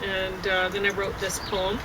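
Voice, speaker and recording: A woman's voice: medium pitch, completely flat delivery, measured pace, noisy background. A tired woman chatting casually in a rambling way, then reading her own poem aloud outdoors. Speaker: poet and blogger. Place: outdoor deck.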